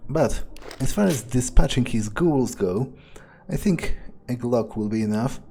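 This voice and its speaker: A man's voice: mid-range voice, animated, a little slow, creaky, dark tone, a little hiss or hum. A man roleplaying his way through a video game, thinking aloud in character with a musing, sardonic, sometimes profane manner.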